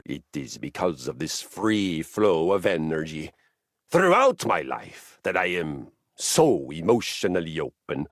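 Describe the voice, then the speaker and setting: A larger-than-life Viking warrior for a video game, passionate and sentimental about violence. The delivery is theatrical and unhurried, leaping widely in pitch over a gravelly creak. Phrasing is archaic and grandiose, broken by dramatic pauses. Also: male voice, a bit bright, no echo, clean studio sound, heavily acted. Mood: wounded, passionate. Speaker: video game character voice actor.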